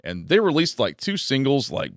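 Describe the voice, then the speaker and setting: A man's voice: mid-range voice, huge pitch swings, moderate pace, no room sound, clean studio sound. A man in his thirties, a guest comedian, chatting in a laid-back, rambling way and swearing freely. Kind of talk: casual chat.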